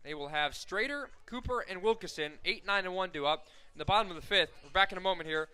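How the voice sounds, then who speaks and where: A young man calling softball play-by-play on the radio, steady and full of stats, rising sharply on big plays. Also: high-pitched, fast, clear, resonant tone. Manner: steady, fact-filled call that rises sharply on big plays. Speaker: play-by-play announcer.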